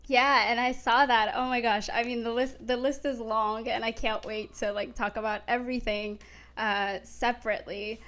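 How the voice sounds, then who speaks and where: A woman with a fairly high voice hosts a casual chat. She is gushing and enthusiastic in the way she talks to her guest. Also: fairly even intonation, moderate pace, a bit bright. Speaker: talk show host.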